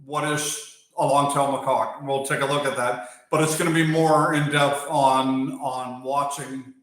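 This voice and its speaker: An American-accented man talks through a live stream at an easy pace, pausing and filling gaps with "uh" as he answers viewers. His voice sits at a middle pitch, and it bounces around a strongly echoing space. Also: steady tone, fairly bright tone. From Germany. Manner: unhurried, pausing with fillers, answering chat between thoughts. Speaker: wildlife channel host. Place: outdoors or open area while working on a bike. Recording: very echoey space.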